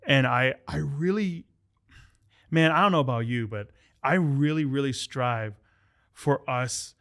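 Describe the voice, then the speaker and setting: Brief: male podcast host, mid pitch, chatty and playful, casual talk. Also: a little slow, a bit bright. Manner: chatty, joking, repeats words.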